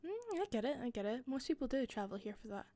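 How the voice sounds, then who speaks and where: A woman with a softened Scottish accent playing an attentive hostess in a roleplay, speaking softly into a close mic with frequent giggles and whispers. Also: high voice, very animated, fast talker. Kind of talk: listener-directed roleplay.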